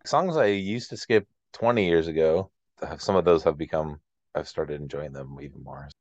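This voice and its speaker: A man with a very low voice chats casually as a podcast co-host. He speaks haltingly and often rewords his thought midway. The sound is dry and somewhat muffled, a lo-fi recording. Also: a little mellow, no echo. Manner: halting, rephrases as he thinks aloud. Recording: dull lo-fi close dry audio.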